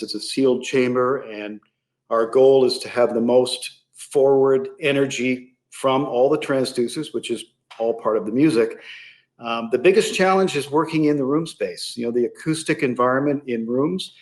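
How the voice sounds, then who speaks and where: A man speaking as an interview guest, explaining a product in a measured way with pauses mid-sentence. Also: medium pitch, darkish tone. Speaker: interview guest, loudspeaker company sales executive.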